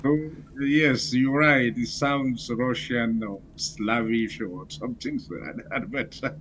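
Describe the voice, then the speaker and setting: A man's voice: medium pitch, slow, fairly bright tone. Cameroonian man on a noisy call. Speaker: guest, priest. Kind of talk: casual interview replies. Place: remote video call. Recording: slightly noisy video call.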